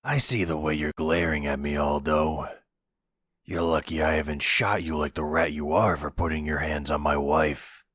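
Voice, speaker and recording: A man's voice: fairly even intonation, normal speed, fairly bright tone, no room sound, studio quality. A man playing a possessive, delusional middle-aged mafia boss and husband in an ASMR roleplay, speaking with condescending, menacing tenderness. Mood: jealous, threatening. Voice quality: very deep, husky.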